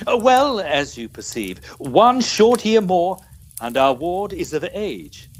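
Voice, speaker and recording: A man's voice: high-pitched, sing-song, slow pace, full character voice. A man playing a dissolute, desperate middle-aged Victorian guardian, florid and declamatory in the manner of old-time radio melodrama. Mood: smug, calculating.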